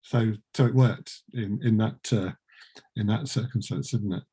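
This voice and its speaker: A man's voice: lowish voice, measured pace, mellow, husky. A man interviewing guests casually, putting his questions in a tentative, stumbling way. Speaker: interviewer, podcast producer.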